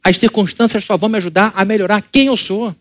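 A man's voice: high-pitched, fairly even intonation, quick pace, a bit bright, no room sound. A male pastor with a Brazilian Portuguese accent delivering a conversational church sermon, firing rhetorical questions at his congregation. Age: adult, married.

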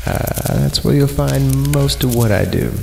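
A man hosting a horror podcast, reading creepy stories aloud in an irreverent, halting way and stumbling over the text. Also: mid-range voice, big pitch swings, vocal fry, a little mellow, a little hiss or hum. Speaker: podcast host and announcer. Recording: long reverb on voice, music underneath. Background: eerie horror film score.

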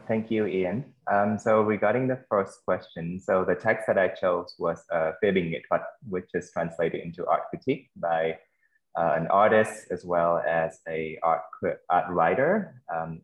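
A man's voice: low voice, moderate pace, reverberant, lo-fi sound. A man with a Vietnamese accent speaking as a translator on a panel, answering thoughtfully with frequent fillers and restarts.

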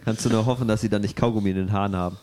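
A man's voice: fairly low pitch, fairly even intonation, rapid-fire, a little mellow. A man in a casual chat, chiming in with short remarks.

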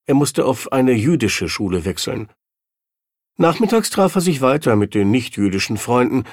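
A man narrating a newspaper article in a measured, even reading voice with slow, emphatic phrases. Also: mid-range voice, creaky, no echo, clean studio sound.

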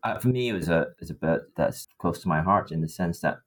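A man's voice: deep voice, a little fast. A young adult man from Thailand, speaking conversationally as a student researcher in an interview. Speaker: PhD student guest.

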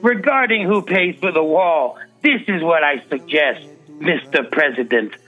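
A man voicing a polite but firm Mexican president in a comedic reading, speaking formally and deliberately. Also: fairly high pitch, animated, bright, forceful tone, muffled recording, faint music behind, exaggerated voice. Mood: courteous, firm. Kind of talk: comedic dramatic reading over music.

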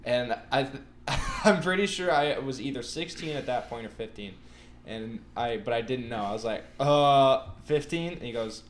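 A man's voice: medium pitch, normal speed, crowd noise. Laid-back young man telling a story. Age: 20s. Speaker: podcast co-host. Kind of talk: casual chat.

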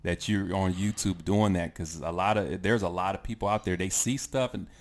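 A man in his late thirties talks in a relaxed, casual chat. His voice is fairly low. He speaks haltingly, often repeating a phrase before moving on. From United States. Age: 30s.

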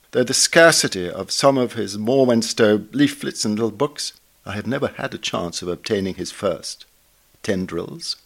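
A man with a fairly low voice reads a prepared literary essay aloud. His delivery is measured and formal, with a bookish precision. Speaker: reader. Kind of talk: scripted reading of a literary essay.

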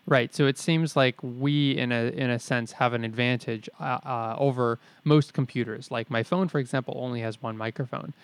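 A man's voice: mid-range voice, steady tone, normal speed, hardly any pauses, clear, resonant tone. A man co-hosting a podcast, thinking aloud in a conversational way with dry jokes. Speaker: podcast co-host. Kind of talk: casual explanatory chat. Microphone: studio mic, separate track.

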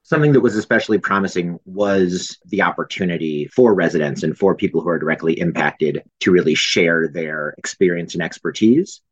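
A man's voice: low voice, a little fast, hardly any pauses, no echo. A man speaking as an advocate in an interview, recorded in dull lo-fi audio. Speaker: housing justice advocate, interviewee. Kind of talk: interview remarks.

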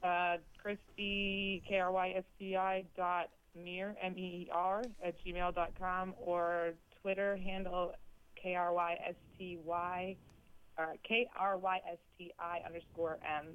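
A woman calling in to a talk show, spelling out her contact details slowly and carefully. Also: lowish voice, flat delivery, smooth. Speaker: caller. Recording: tinny phone line.

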